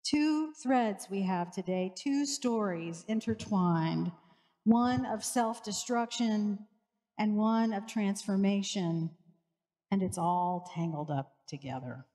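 A woman in her forties, a pastor, preaching a sermon in a slow, measured way with rhythmic repetition, on a headset mic in a church sanctuary. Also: mid-range voice, warm tone. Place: church sanctuary with large lake-view windows. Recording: reverberant church hall.

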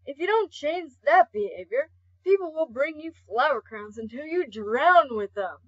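A woman's voice: high-pitched, animated, normal speed. A woman voicing a laid-back, scolding male skeleton in an amateur comic dub, blunt, casual and stumbling. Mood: chiding, frank. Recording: dull lo-fi home recording.

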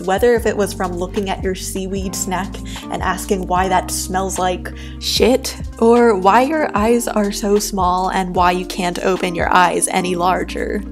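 A young woman from New York, whose was Cantonese, talks in a soft and reflective way. Her voice sits at a mid pitch, recorded close as a voice-over, with clear music playing behind her. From New York, USA. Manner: soft, thoughtful, candid. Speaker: art YouTuber. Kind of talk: reflective personal voice-over while drawing.

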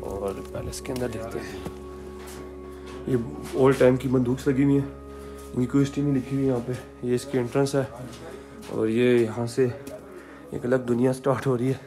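Male Pakistani travel vlogger, casual on-location commentary, mid-pitched voice, clear music bed underneath. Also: steady tone, moderate pace, pauses often. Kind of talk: casual vlog commentary while showing sights. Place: on location while touring, mostly outdoors. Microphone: handheld camera or phone. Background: background music.

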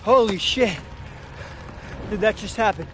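An actor playing a brash, excitable young soldier in a war video game. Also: male voice, sits high, unhurried, slight background noise. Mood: stunned, relieved. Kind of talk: video game combat dialogue.

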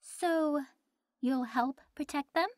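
A woman acts out a prim young female angel in an audio roleplay. Her voice is very high and recorded dry, without room sound. She speaks earnestly, with a hesitant, easily flustered manner. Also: slow, dry recording. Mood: concerned.

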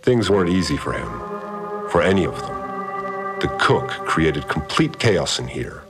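A grave, very deep narrator. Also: male voice, big pitch swings, over music, exaggerated voice. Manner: measured, grave. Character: calm male mystery function narrator.

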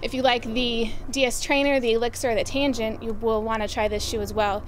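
A young woman presenting new running shoes and apparel in a casual, upbeat way, often pausing with "um". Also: on the high side, steady tone, a little hiss or hum. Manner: upbeat, with frequent "um" fillers. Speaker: store product presenter. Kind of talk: casual product rundown. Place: outdoors by farm fields.

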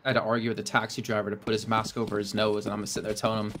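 A man's voice: lowish voice, level tone, racing, fairly bright tone. A man casting a competitive shooter match, trading teasing, dry banter with his co-caster between rounds. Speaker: esports caster. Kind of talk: banter and play-by-play commentary.

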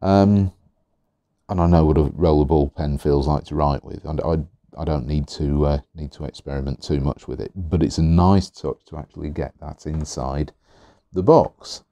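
A man reviewing fountain pens in an easygoing, chatty way, a little hoarse, with fillers and repeated words. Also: a bass voice, steady tone, moderate pace, mellow, no echo. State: slightly hoarse. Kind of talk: relaxed product review. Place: indoors at a desk. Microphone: overhead camera on the hands, speaker not in frame.

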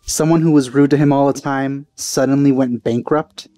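A man's voice: mid-range voice, steady tone, normal speed, mellow, dry recording. A man doing casual, wry, sceptical commentary as he plays through a video game. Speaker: let's play host. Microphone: close dry mic. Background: faint game music.

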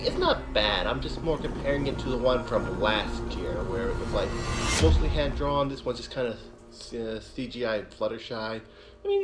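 A young man gives a casual, musing reaction commentary as host. His pitch moves around a lot, and music plays behind him. His th sounds come out a little off. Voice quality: trouble pronouncing th sounds. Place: small living room, indoors. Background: music and video audio.

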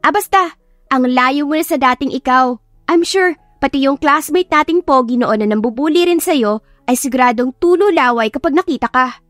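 A woman's voice: sits high, normal speed, a bit bright, no room sound, voice pushed up. A woman voicing a bubbly, teasing young female best friend with a Filipino accent in a chirpy, playful manner. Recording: music behind the voice.

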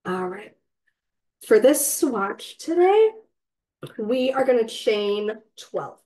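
A woman with a slightly raspy, cold-hoarse voice, patiently teaching a crochet class step by step and counting stitches aloud. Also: on the high side, big pitch swings, takes it slowly, dark tone, rough recording. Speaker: crochet instructor. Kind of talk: step-by-step craft tutorial. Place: indoors, online class.

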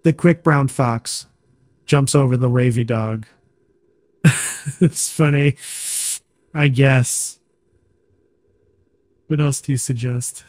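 A man's voice: medium pitch, steady tone, slow, long pauses, a little mellow, no room sound. A synthetic male voice reading a short text sample.

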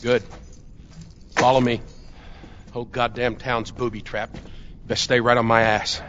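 Belligerent American survivalist, played by a man, sharp-voiced. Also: medium pitch, little pitch movement, unhurried, lightly acted. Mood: grudging. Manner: belligerent, sarcastic, swearing. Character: gruff, paranoid, hot-tempered middle-aged male survivalist. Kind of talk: dramatic video game acting. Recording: muffled game audio with background noise.

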